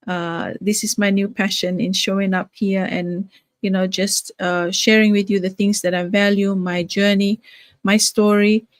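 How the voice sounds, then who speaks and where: A woman's voice: medium pitch, no echo, clean studio sound. An older woman from Fiji, a grandmother, hosting a casual live stream with many fillers and restarts. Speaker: live-stream host, nurse and business owner.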